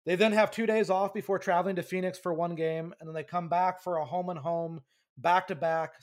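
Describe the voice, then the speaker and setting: Young male podcast co-host, high voice, casual and lively, laughs easily. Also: brisk. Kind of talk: casual sports podcast chat.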